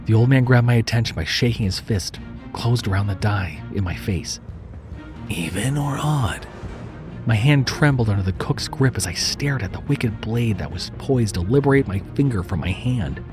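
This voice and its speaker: Low-voiced man narrating horror. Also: animated, normal speed. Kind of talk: dramatic scripted horror narration. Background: background music.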